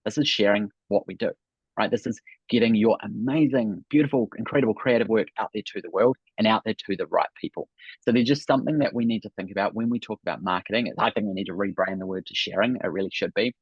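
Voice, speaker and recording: A man's voice: lowish voice, quick pace, breathy edge, dry recording, muffled recording. A man in his thirties with a New Zealand accent, giving an upbeat webinar talk as a business coach and often adding "right?". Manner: upbeat, repeats "right?" and "really, really".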